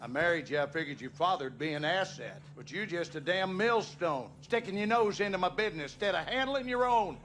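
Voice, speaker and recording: A man's voice: high voice, animated, brisk, few pauses, a bit bright, slight background noise. An actor playing an abusive, bitter Southern husband and father, coarse and menacing, in a dramatic video game, with a Southern US accent. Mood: furious contempt. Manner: menacing, coarse, folksy cursing.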